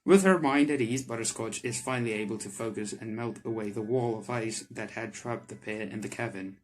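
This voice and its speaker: A man's voice: fairly low pitch, brisk, low-quality recording. A man reading a visual novel aloud as a gaming creator, with casual reactions between narrated passages. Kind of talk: reading game text aloud with character voices and casual reactions.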